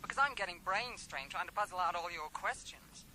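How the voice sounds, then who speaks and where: A woman's voice: on the low side, animated, brisk, noisy background, lightly acted. An actress playing a frank, puzzled woman in a radio drama, plain-spoken and mildly exasperated. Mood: puzzled, candid. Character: frank, puzzled American woman, an old acquaintance.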